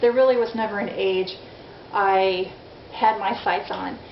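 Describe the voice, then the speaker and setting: A woman's voice: medium pitch, fairly even intonation, measured pace. A woman in her forties chatting candidly in a casual video diary, self-deprecating and frank. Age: 40s. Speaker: vlogger. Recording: dull lo-fi audio in an echoey room with faint noise.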